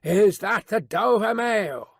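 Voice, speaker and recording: Man putting on a hoarse, blunt mounted messenger. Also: high voice, lively intonation, moderate pace, dry recording, studio quality, exaggerated voice. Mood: urgent, blunt. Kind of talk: dramatised audiobook reading.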